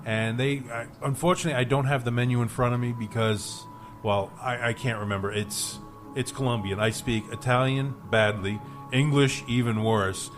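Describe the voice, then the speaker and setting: A man talks casually as a radio host, with clear music running underneath. He rambles through local restaurant reviews in a halting, self-deprecating, joking manner, at an ordinary middle pitch. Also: steady tone. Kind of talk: rambling casual studio chat. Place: radio studio. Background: music under the talk.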